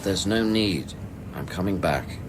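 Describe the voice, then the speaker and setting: A man acting in a radio play as a grieving, contemplative scientist on an alien world, speaking in a hushed, deliberate way. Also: sits low, background noise. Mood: quietly resolute. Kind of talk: radio drama acting with first-person narration. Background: music.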